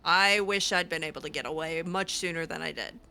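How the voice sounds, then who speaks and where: A woman's voice: deep voice, brisk, pushed and bright. A woman role-playing her character over a video game, improvising in a hesitant, informal, conversational way.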